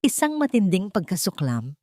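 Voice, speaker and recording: A woman's voice: medium pitch, huge pitch swings, normal speed, warm tone, no echo, studio quality. A woman reading a scripted story as narrator in a dramatic style.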